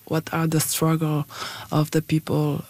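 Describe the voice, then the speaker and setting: A woman's voice: low-pitched, monotone, unhurried, darkish tone, no echo. A woman from Niger, a Hausa speaker, speaking hesitantly in an interview, restarting words and using many fillers. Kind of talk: casual interview talk.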